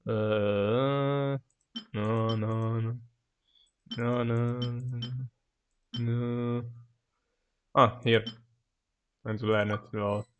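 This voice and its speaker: A man streaming a video game with casual commentary, muttering to himself in a halting way. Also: medium pitch, steady tone, dull audio. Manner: muttering, halting, trailing self-talk. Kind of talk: casual live gameplay commentary. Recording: faint noise and clicks.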